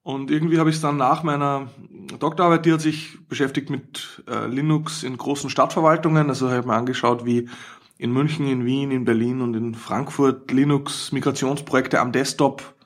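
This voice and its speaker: A man's voice: medium pitch, fairly even intonation, moderate pace. A man with an Austrian accent, an academic guest explaining his work conversationally in an interview.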